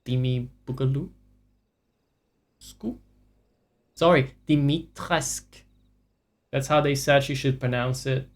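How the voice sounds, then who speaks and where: A man's voice: mid-range voice, fairly even intonation, slow, long pauses. Profane, ranting male streamer. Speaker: livestreamer playing a video game. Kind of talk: casual live gameplay commentary.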